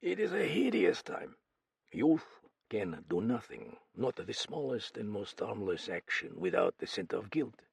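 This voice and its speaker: A man's voice: on the low side, sing-song, measured pace, creaky, husky, no echo, clean studio sound, heavily acted. A man doing a theatrical older Frenchman. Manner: theatrical, mannered.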